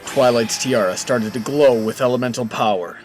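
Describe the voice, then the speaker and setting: A man's voice: medium pitch, normal speed, soft background music. A man narrating a scripted comedic story as the narrator between characters' lines.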